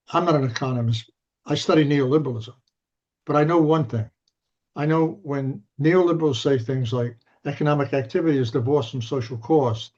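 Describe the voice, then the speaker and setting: A man interviewing a guest, thinking aloud in searching, halting sentences with frequent restarts. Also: mid-range voice, a little mellow. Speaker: interviewer, scholar. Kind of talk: academic interview discussion. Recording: dull lo-fi audio.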